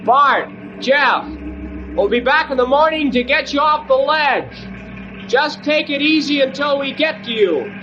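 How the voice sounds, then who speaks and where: Earnest young helper, very high male voice, echoey, noisy old radio adventure recording. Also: animated, a little slow, dull audio, over music. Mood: urgent, reassuring. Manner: earnest, calling out instructions clearly. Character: eager, helpful young male rescue-crew sidekick.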